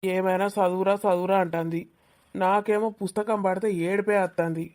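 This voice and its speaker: A woman's voice: medium pitch, fairly even intonation, fairly quick, a little mellow, close to an everyday voice. Woman playing a grumpy young Telangana village student. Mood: anxious, complaining. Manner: complaining, colloquial bickering. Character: grumpy lovelorn young male student, anxious and easily irritated. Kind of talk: village comedy cartoon dialogue.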